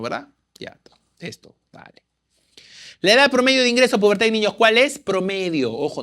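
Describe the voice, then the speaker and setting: Man, high voice, exam-prep tutor who quizzes, then answers. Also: a bit bright. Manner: quizzing, answers own questions. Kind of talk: lecturing through exam questions.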